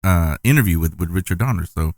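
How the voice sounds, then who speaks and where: A man's voice: low voice, animated, fast, no echo, studio quality. A man hosting a podcast, asking long, rambling, enthusiastic questions. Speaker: podcast host. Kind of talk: casual interview chat.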